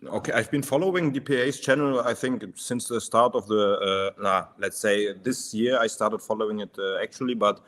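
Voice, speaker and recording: A man from Germany of Turkish origin, chatting casually and haltingly as a guest on a video call. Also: lowish voice, fairly bright tone. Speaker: first-time open-mic guest. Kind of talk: casual chat. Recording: noisy live stream line, clicks.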